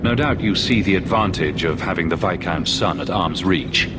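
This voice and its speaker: A confident adventurer in a video game, blunt and a little weary. The pitch sits on the low side, and loud background ambience runs under the dialogue. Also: male voice, animated, moderate pace, very noisy. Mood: probing, direct.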